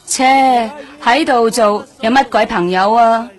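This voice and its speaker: A streetwise, guarded nightlife hostess in a Cantonese radio drama. Her voice is on the high side, and she talks bluntly in offhand slang with a flippant, jaded edge. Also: slow. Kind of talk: radio drama acting, colloquial dialogue.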